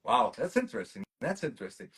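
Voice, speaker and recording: A man's voice: mid-range voice, huge pitch swings, fast talker, fairly bright tone. A man hosting an interview, reading fan questions out and reacting with enthusiasm. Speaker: interviewer host. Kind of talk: casual interview.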